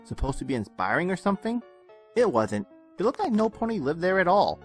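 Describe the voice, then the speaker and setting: A man reading a scripted fan fiction story aloud with expressive narration. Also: high voice, a little fast. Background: soft game music.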